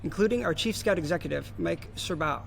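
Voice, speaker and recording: A man's voice: a little high, brisk, vocal fry, a little hiss or hum. A young man from New Jersey co-hosting a scripted show, friendly and easygoing. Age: young adult. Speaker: youth co-host.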